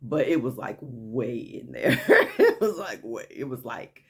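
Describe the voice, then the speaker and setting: A woman with a naturally deep voice telling a story to her viewers in a chatty vlog, often tossing in "okay?" as she hypes things up. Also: animated, a little slow, a little mellow. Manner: chatty, frequent "okay?" asides, hyped enthusiasm. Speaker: vlogger. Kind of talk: casual story time vlog.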